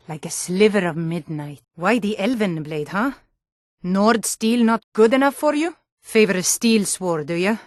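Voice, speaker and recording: A woman playing a tough, suspicious female Nord city guard in a fantasy video game, with a blunt, gruff, challenging and wry manner. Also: medium pitch, no echo, clean studio sound, natural voice. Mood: admiring.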